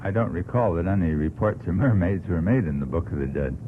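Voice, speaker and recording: An American man argues earnestly on a radio talk show, phrasing deliberately and emphatically like a practised orator. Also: low voice, expressive. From United States. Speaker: panel guest. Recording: noisy, tinny old radio broadcast recording.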